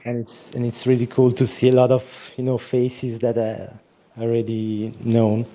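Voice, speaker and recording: Mellow, even-voiced man explaining a project. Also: medium pitch, a little slow. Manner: explaining at length. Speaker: project organizer. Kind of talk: casual chat. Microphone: remote call audio.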